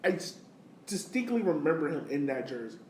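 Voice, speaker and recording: A man's voice: on the high side, very animated, fairly quick, a little mellow. A man of thirty hosting a podcast, talking sport in a casual, slangy and enthusiastic way. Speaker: podcast host. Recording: roomy, distant mic, faint noise.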